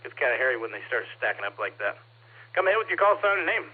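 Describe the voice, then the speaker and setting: A man's voice: mid-range voice, big pitch swings, fast talker, husky, phone-quality sound. A man running a ham radio net, taking check-ins and reading call signs in a friendly, casual way. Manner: friendly, calls people brother. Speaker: net control operator. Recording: radio transmission.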